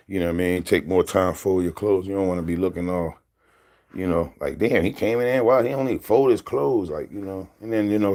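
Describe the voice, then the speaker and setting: Deep voiced guy in his 30s rambling on his vlog, laid back, chuckles a lot. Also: a little mellow. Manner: loose and rambling, laughs often. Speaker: vlogger. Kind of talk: casual storytelling to camera.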